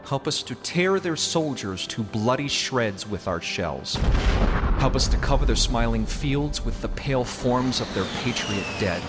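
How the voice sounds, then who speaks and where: A solemn messenger of God, acted by a male narrator, speaks in a medium-pitched voice over music. He is fervent and commanding, preaching in measured, archaic phrases as in a dramatic storybook. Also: close to an everyday voice.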